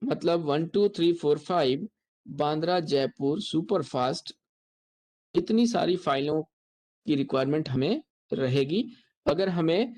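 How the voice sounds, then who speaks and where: An instructor with an Indian accent giving a software tutorial lecture, explaining step by step and repeating points. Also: mid-range voice, expressive, a little slow.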